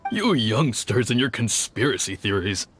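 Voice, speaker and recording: An actor playing an older police officer in a video game, measured and sceptical as he recounts and admonishes. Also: medium pitch, lively intonation, a bit bright, faint music behind, natural voice. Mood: chiding. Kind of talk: video game voice acting, storytelling dialogue.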